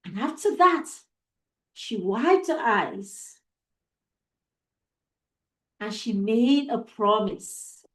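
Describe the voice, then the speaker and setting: A woman storyteller with a high, very animated voice tells a tale for children. She goes slowly and deliberately, building suspense, and the room around her sounds echoey. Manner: deliberate, suspenseful, repeats phrases for emphasis. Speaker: storyteller, host of a storytime show. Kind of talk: dramatic oral storytelling for children.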